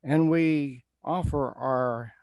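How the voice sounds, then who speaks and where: A man speaking an improvised prayer as a spiritual leader, in solemn, measured phrases. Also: a little high, darkish tone, no echo, clean studio sound. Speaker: spiritual leader giving a blessing.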